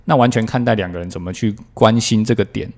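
A man's voice: lowish voice, fast talker. A man with a Taiwanese Mandarin accent, chatting casually as a podcast co-host. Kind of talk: casual chat.

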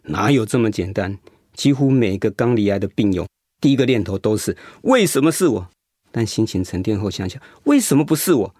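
An actor playing a determined, encouraging male cancer patient in a Mandarin radio drama, with a husky voice. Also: lowish voice, very animated, a little fast, a little mellow, no room sound, studio quality. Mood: earnest, encouraging.

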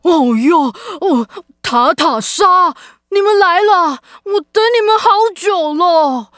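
A woman's voice: very high voice, expressive, slow pace, a bit bright, no echo, studio quality, full character voice. An actress playing a grumpy, flustered crybaby witch with a secretly kind heart in a children's audio drama, stammering and huffy. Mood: flustered, hesitant.

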